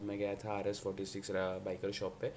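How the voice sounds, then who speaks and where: A man's voice: on the low side, monotone, quick pace, over loud music. A man with a Mumbai Hindi accent talking casually to viewers as a motorcycle vlogger, explaining colloquially and repetitively with English words mixed in. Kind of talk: casual vlog talk to viewers. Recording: noisy background, ambient and outdoor hum.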